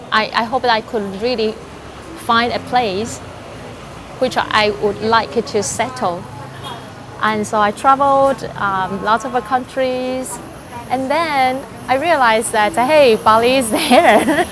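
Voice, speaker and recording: A woman from Taiwan, a life and business coach chatting in an interview with many fillers and light laughs. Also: on the high side. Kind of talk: casual interview answers. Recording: noisy background.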